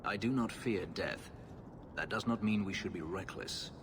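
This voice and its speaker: Man acting a deep, brooding fugitive warrior. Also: background noise, lightly acted. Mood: grim resolve. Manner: formal, grave, measured speech. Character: brooding, bitter elven fugitive slave warrior. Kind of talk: video game voice acting.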